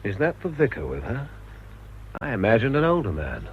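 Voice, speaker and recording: A pompous middle-class British husband in a radio play. His formal, dry condescension comes with very animated pitch. The sound is dull with noticeable background noise. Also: moderate pace. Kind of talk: British radio drama acting. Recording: dull, noisy radio drama recording.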